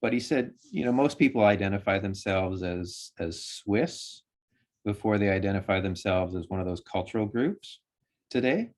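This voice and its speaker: A man's voice: lowish voice, animated, moderate pace, a little mellow. A man speaking as a guest in a casual discussion, hedging his points with frequent ums.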